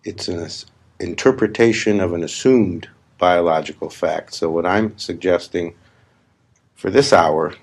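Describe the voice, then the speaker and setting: Very slow, deep, gravelly man. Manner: deliberate, emphatic, repetitive phrasing with long pauses. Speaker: spiritual teacher leading guided meditation. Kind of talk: slow guided meditation talk. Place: live gathering.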